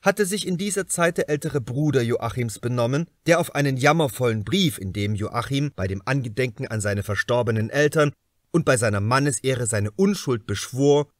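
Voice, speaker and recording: A man's voice: medium pitch, moderate pace, no echo, clean studio sound. A man reading a crime novel aloud as a scripted audiobook narration.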